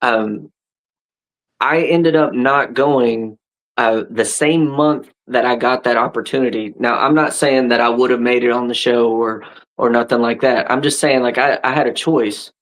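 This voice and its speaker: A man's voice: mid-range voice, measured pace, fairly bright tone, rough recording. A man in his thirties, a wrestler hosting a podcast, talking casually with frequent restarts and "right?" tags. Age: 30s. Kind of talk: casual chat.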